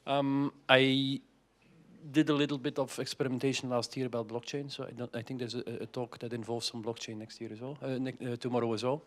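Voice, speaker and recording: A man's voice: mid-range voice, flat delivery, brisk. A man, introducing himself on a panel in a matter-of-fact, wry way. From Belgium. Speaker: panelist, company founder.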